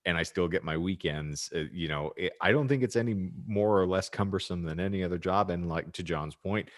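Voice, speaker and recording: A man's voice: sits low, fairly quick, no echo, studio quality. A middle-aged man co-hosting a casual comedic podcast, talking in a wordy, wry way with florid word choices. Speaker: podcast co-host. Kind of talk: casual comedic panel chat.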